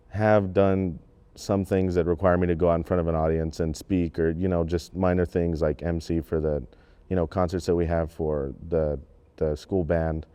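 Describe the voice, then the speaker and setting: A man's voice: low-pitched, mellow, clean tone. A young man talking casually in an interview as a student actor, plain-spoken and a little hesitant. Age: young adult.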